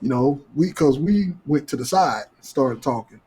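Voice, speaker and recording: A man with a husky voice telling a casual podcast story in slangy, profane talk, acting out the dialogue. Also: medium pitch, lively intonation, unhurried, mellow. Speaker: podcast co-host.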